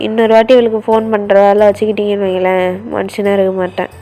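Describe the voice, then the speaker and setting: A woman's voice: mid-range voice, level tone, brisk, creaky, mellow, faint music behind, voice pushed down. A woman voicing an angry, controlling older brother who barks threats and orders. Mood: angry threatening. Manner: harsh, threatening, commanding.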